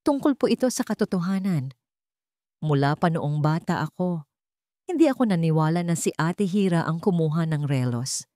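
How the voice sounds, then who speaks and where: A woman narrator voicing a polite, calm and determined young man in a dramatic audio storybook. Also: fairly low pitch, moderate pace, dry recording, studio quality, natural voice. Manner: calm, respectful.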